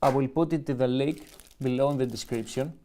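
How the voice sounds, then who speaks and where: A man from Greece with a Greek accent, chatting casually through a live-stream unboxing and reading the manual aloud with halting restarts. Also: medium pitch. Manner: relaxed, halting, restarts and self-corrections while reading. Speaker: music gear reviewer.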